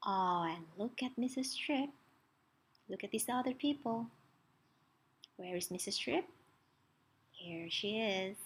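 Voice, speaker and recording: A woman reading a children's story aloud, expressively, pausing to ask young listeners questions and point things out. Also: mid-range voice, animated, slightly rough.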